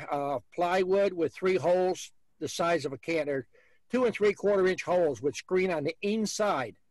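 A man's voice: sits high, no room sound, rough recording. A man, hosting a beekeeping video call and giving blunt, folksy advice. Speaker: beekeeper host.

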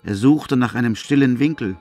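A man's voice: mid-range voice, normal speed, a little mellow, faint music behind. A man reading scripted literary narration as the narrator of a radio play.

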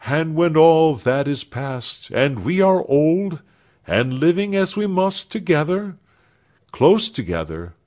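A man's voice: fairly high pitch, sing-song, takes it slowly, a little mellow, pitched above the performer's own voice. A man playing an earnest young man bidding a heartfelt farewell to those he loves. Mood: fervent. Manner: fervent, heartfelt. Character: earnest young man, devoted lover departing.

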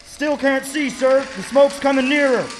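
A man's voice: extremely high pitch, steady tone, normal speed, slight background noise, low-quality recording. A man playing a dutiful artillery spotter in a radio play, urgently reporting to his officer in a raised voice. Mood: anxious. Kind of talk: radio play battle acting.

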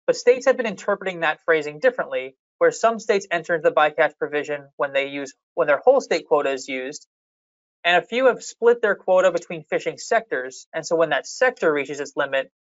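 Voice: medium pitch, dry recording, dull audio. Methodical presenter walking through slides. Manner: measured, methodical, explanatory. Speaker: fishery management staff presenter. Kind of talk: reading a public hearing slide presentation.

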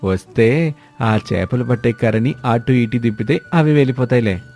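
A man voicing a fond, encouraging young village-born husband in an animated moral story, over music. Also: medium pitch, normal speed, natural voice. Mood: cheerful.

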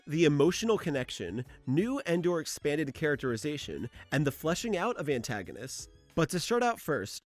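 Casting: young male video essayist, lively swinging intonation, informal and slangy, scripted but chatty; dry close mic over light music. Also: fairly high pitch. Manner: informal, slangy, sweary, animated. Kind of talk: scripted video essay narration with casual asides.

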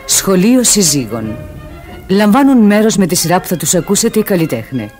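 A man's voice: sits high, very animated. A man reading credits formally as a radio theatre announcer. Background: music.

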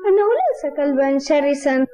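A woman's voice: sits high, lively intonation, normal speed, dark tone, slight background noise. A woman voicing an earnest, innocent, tender-hearted little boy in an Arabic cartoon dub. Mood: sincere, soft. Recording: muffled old cartoon audio, music behind.

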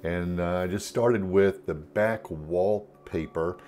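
A man's voice: sits low, takes it slowly, a little mellow, a little hiss or hum. A man hosting a watercolor tutorial, talking through his process in an easygoing way with frequent ums and uhs. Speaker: art tutorial host. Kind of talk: relaxed step-by-step painting demonstration. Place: at a table, painting from overhead camera view.

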